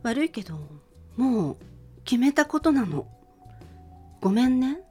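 A woman's voice: high-pitched, big pitch swings, a little slow, warm tone, husky, soft background music, voice pushed up, exaggerated voice. A woman acting a sweet young female college student, an earnest girlfriend in a radio drama. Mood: sad, resolute.

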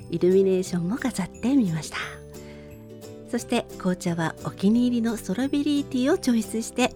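A middle-aged woman hosting a radio show, speaking politely in a gentle solo talk with personal stories. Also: fairly high pitch. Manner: polite, formal phrasing with personal anecdotes. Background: faint music.

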